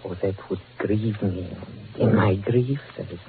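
A man playing an oily blackmailer, soft-spoken and fawning with polite menace, in 1940s radio style. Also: on the low side, unhurried. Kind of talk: 1940s radio villain acting. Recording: tinny, hissy old radio recording.

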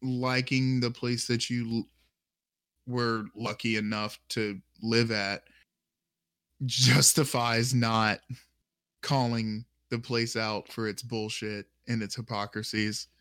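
A man in a loose podcast conversation who cracks sarcastic jokes and laughs at his own lines. His voice sits at an ordinary middle pitch. The sound is dry and close, with no room echo. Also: little pitch movement, slow, fairly bright tone, smooth. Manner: laughs often, sarcastic, joking. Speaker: podcast co-host. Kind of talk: casual chat.

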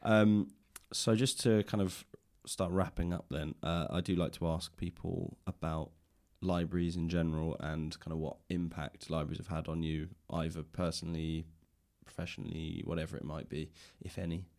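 A man with a low voice hosts a conversational interview. He is thoughtful and tentative, working through his ideas aloud as he speaks. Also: flat delivery, normal speed, vocal fry, hardly any pauses, darkish tone. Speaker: podcast host and interviewer. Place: indoors, in a library.

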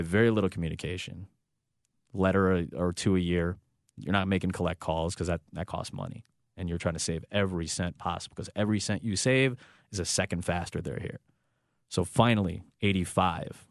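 Deep gravelly man telling a heartfelt story. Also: a little fast, dry recording, studio quality. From United States. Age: 30s. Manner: reflective and heartfelt, with frequent restarts. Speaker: sports broadcaster. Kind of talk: personal storytelling in a conversation.

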